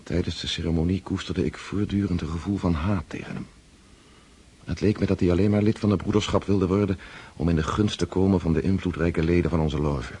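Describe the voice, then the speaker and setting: A tormented count with an extremely deep, rough-edged voice. He confesses in a sombre, measured, introspective way, as narration in a radio drama. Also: fairly even intonation, dark tone. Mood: brooding, bitter. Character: brooding, tormented aristocratic count, confessing inner doubts.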